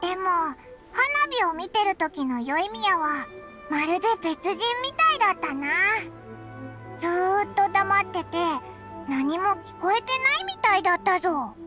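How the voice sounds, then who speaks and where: A woman voicing a tiny, childlike fairy companion in a game, squeaky, curious and blunt. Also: lively intonation, measured pace. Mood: chatty, impressed. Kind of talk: anime-style game voice acting. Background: background game music.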